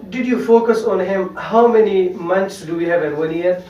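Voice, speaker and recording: High-voiced man asking questions. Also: dark tone. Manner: slow, simple questions. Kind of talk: classroom conversation practice. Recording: roomy, distant, dull sound.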